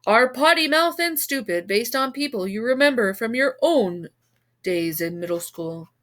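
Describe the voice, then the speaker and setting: Goading TV newsman, performed by a woman, storybook character, punchy and lively in pitch. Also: normal speed. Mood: inquisitive. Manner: punchy, taunting interview questions. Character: brash, goading male TV news anchorman.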